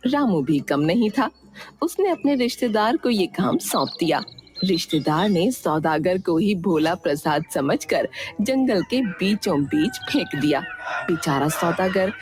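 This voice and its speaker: A woman narrating a moral story for a cartoon in a lively storytelling manner. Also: fairly low pitch, measured pace. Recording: music playing behind.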